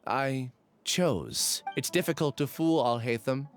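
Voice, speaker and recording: A man's voice: medium pitch, takes it slowly, soft background music. An actor playing a troubled young male researcher in a video game, solemn, apologetic and confessional. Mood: resigned, confessing. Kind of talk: dramatic video game voice acting.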